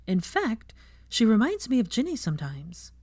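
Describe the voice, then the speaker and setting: Woman voicing an earnest young woman, lively pitch. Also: normal speed, husky, heavily acted. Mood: no-nonsense. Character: no-nonsense, kindly young woman teacher. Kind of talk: fan fiction audiobook character voice.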